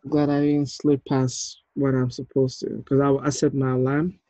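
Mellow man on an echoey call. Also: mid-range voice, flat delivery, normal speed. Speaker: host, filmmaker running a table read. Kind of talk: casual chat on a video call. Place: small room indoors, on a video call. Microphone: earphones on a video call. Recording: dull, echoey video-call audio.

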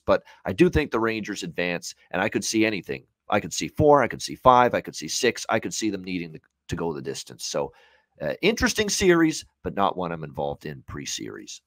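A man's voice: lowish voice, fairly bright tone, no room sound. A man in his thirties giving conversational sports betting analysis from a room at home, building long, hedged, run-on points. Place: small room at home, on a video call.

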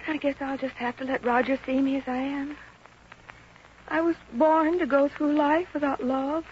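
Timid lovelorn schoolmarm played by an actress, very high voice, plaintive, dull old radio sound. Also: female voice, steady tone, moderate pace, a little mellow, a little hiss or hum, close to an everyday voice. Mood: forlorn. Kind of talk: 1950s radio comedy acting.

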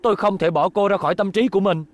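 A man's voice: high voice, fast, no room sound, natural voice. An actor playing an earnest, lovestruck young male robot racer with dramatic delivery in a dubbed cartoon. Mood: yearning.

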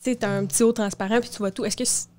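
A woman's voice: mid-range voice, steady tone, rapid-fire, a little mellow. A young woman with a Québécois French accent chatting as a podcast co-host in a small studio, thinking aloud with many fillers. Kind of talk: casual podcast discussion. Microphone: studio mic on boom arm, headphones.

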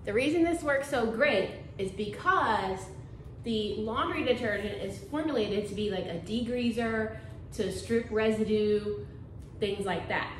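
A woman's voice: mid-range voice, unhurried, echoey room, slight background noise, poor audio. A woman, chatting casually to camera about cleaning in her living room. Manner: chatty, homey, fillers like "like" and "um". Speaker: cleaning vlogger. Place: carpeted-free living room of a house, indoors.

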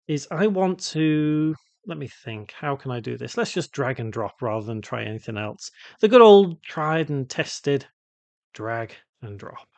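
A man with a somewhat high-pitched voice presents a software tutorial. He talks casually and without a script, thinking aloud as he works through each step. The sound is close and very dry, as a voice-over. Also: no echo. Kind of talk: unscripted software tutorial narration.

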